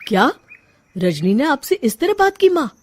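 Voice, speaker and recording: A woman voicing a patient young husband in an animated story who keeps coaxing and pleading. The voice stays fairly high and lively, not made deep. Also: unhurried.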